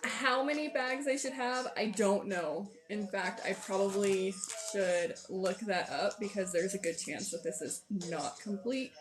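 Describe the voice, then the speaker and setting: A hoarse, tired-sounding woman hosting a casual livestream, rambling and thinking aloud as she sets up. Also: mid-range voice, measured pace, hardly any pauses. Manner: rambling, self-deprecating, thinks aloud while sorting pieces. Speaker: livestream host. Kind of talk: casual livestream chat while setting up a build.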